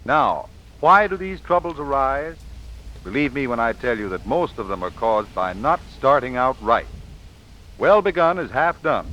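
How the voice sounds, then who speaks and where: A man giving a scripted 1940s radio advertising pitch as a heating expert, formal, measured and persuasive. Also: fairly bright tone. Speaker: sponsor's home heating expert. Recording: muffled, faintly noisy 1940s radio broadcast.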